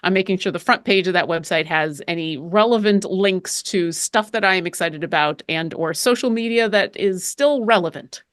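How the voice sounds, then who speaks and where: A woman's voice: on the low side, moderate pace, hardly any pauses, fairly bright tone, dry recording, clean studio sound. A woman introducing herself on a panel in a chatty way, with lots of fillers. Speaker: panelist, content manager. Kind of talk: casual panel self-introduction.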